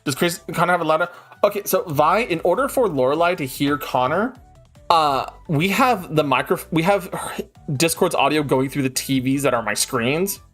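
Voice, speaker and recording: Animated man reading card text. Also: fairly high pitch, normal speed, faint music behind. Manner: animated, reads rules text aloud in bursts. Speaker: streamer host. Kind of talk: casual chat while reading card text aloud. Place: home streaming setup.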